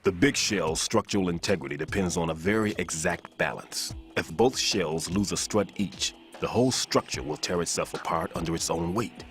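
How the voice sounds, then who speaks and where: Deep-voiced weary bomb expert. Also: male voice, normal speed, few pauses, a bit bright. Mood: focused, grave. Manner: precise, technical, grave. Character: weary older male bomb disposal expert, earnest and self-sacrificing. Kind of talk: dramatic video game voice acting. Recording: dramatic music under the voice.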